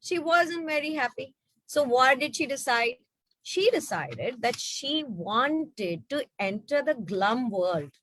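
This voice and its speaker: A woman telling a story to children in an expressive, questioning way. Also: sits high, takes it slowly, no echo, poor audio. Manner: expressive, asks rhetorical questions. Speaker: storyteller at an online children's book reading.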